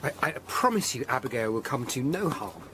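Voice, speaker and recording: An actor playing a grave, courteous Tudor lawyer investigator in a radio drama, earnest and measured. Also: male voice, medium pitch, big pitch swings, background noise, lightly acted. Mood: gentle, probing. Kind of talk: radio drama acting, some narration.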